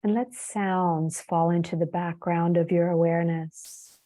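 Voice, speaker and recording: A woman leading a guided meditation as a yoga teacher, soothing and unhurried, leaving long pauses between phrases. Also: lowish voice, fairly even intonation, dark tone.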